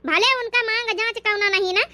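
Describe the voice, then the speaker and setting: A woman's voice: very high-pitched, steady tone, brisk, sharp tone, full character voice. A woman voicing a proud, demanding village mother-in-law in a cartoon comedy, insistent, with an Awadhi accent.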